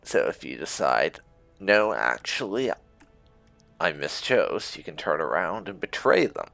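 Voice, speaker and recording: Male gaming host, casual roleplay commentary, unhurried and musing, very wide swings in intonation. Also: rumbling low, sing-song, vocal fry, a bit bright, no room sound, soft background music.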